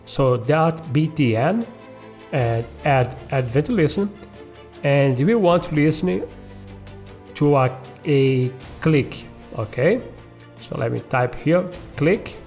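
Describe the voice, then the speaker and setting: Guy doing a coding tutorial, slow casual step by step, music in the back. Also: fairly high pitch, animated, darkish tone. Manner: checks in often with tag questions like okay and all right. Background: background music.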